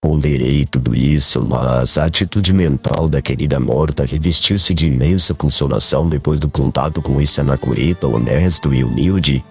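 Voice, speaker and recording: An actor playing a calm, tolerant, dignified household guardian in a dramatized audiobook, speaking in measured formal phrases. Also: male voice, extremely low pitch. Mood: calm, conciliatory.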